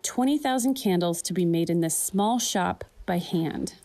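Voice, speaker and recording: Lady reporter doing news voiceover, warm mellow voice, steady. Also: on the low side, moderate pace. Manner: steady, clear narration. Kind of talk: scripted voice-over narration.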